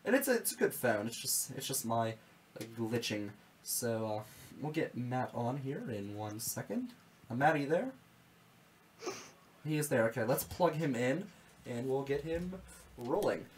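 A man's voice: medium pitch, sing-song, a little slow, pauses often, slight background noise. A young man hosting a casual radio show in a small studio, talking loosely and repeating his words, his voice slightly strained. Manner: rambling, repeats words when starting sentences. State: slightly strained voice after nearly losing it. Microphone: studio mic on boom arm, with headphones.

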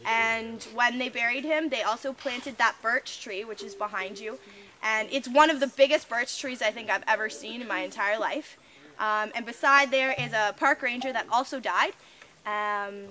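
A woman's voice: a little high, pushed and bright. A young woman, a park summer worker, guiding a group through a grave site at night in a casual, chatty way with fillers, recorded outdoors by a camera a few metres away. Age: young adult. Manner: chatty, with fillers and small laughs. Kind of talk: casual tour-guide talk to a group.

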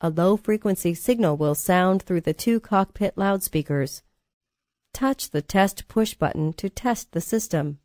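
A woman reading scripted training narration in a measured, formal manner, as a course narrator. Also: mid-range voice, expressive, darkish tone, no echo, studio quality.

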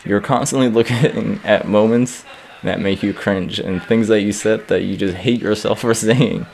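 A young man from Iraq talks in a studio interview about his stand-up work. His voice sits fairly low, and his tone is thoughtful and unhurried. He chuckles now and then as he speaks. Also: fairly even intonation, creaky, a little mellow, slight background noise. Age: young adult. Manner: reflective, laughs as he talks. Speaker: stand-up comedian. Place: studio against a plain grey backdrop.

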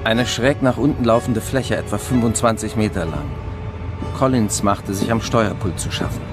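Actor as a sober crime investigator, music behind. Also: male voice, on the low side, moderate pace, lightly acted. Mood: matter-of-fact narration. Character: sober, sceptical male police investigator, narrating his case. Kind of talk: German radio crime drama.

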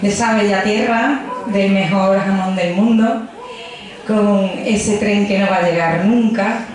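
A woman's voice: medium pitch, steady tone, measured pace, crowd noise. A young woman from Extremadura with a Badajoz accent, a physicist giving a chatty popular science talk into a handheld mic in a pub. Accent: Badajoz Spanish. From Extremadura, Spain. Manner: chatty, jokey, addresses audience directly. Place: crowded pub, indoors. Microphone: handheld microphone. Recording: echoey pub room with faint noise.

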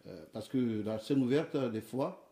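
A man who runs open music sessions, explaining hesitantly with many pauses. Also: mid-range voice, mellow, reverberant. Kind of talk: conversational interview answer.

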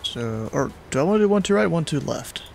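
A man's voice: fairly high pitch, very animated, a little fast, creaky, faint music behind. A young man hosting a live stream from his home room, talking bluntly and casually with lots of slang. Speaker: streamer host.

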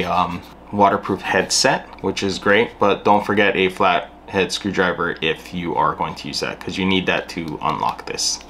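Middle aged guy doing a casual gear review, low voice, explains stuff plainly, bit of background noise. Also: fairly bright tone. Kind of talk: casual product review to camera. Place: small indoor home room.

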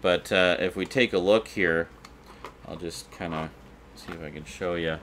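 A man's voice: low-pitched, fairly even intonation, moderate pace, a bit bright. A middle-aged man, chatting informally and unscripted as he hosts a review vlog. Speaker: video host reviewing collectibles. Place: small indoor room with shelves. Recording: clearly noisy room recording.

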